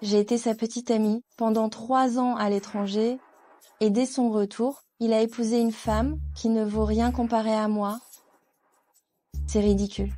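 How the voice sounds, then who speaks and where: An actress as a mellow-voiced, haughty jilted ex. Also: female voice, on the high side, steady tone, moderate pace, lightly acted. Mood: scornful. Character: haughty jilted ex-girlfriend, wounded and jealous. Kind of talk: dubbed melodrama acting.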